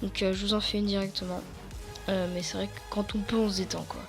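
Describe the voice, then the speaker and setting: A teenage girl from France recording a casual computer tutorial at home, a bit hoarse, rambling with many fillers and restarts. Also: mid-range voice, level tone, fast talker, over music. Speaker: tutorial YouTuber.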